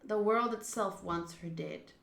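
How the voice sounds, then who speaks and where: A woman reads a visual novel aloud on stream and comments casually as she goes. Her voice is bright and expressive, moving between measured narration and loud reactions. The room adds a clear echo. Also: mid-range voice. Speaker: game streamer.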